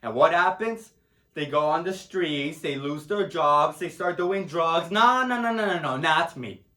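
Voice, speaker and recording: A proud, tender, grieving middle-aged Puerto Rican father, acted by a man in a dramatic monologue. His voice is high and swings widely, with a Spanish accent and rambling broken English. It sounds in an echoey room. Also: animated, measured pace, pushed and bright. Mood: earnest, tender. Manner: broken English, rambling, tags phrases with "huh?".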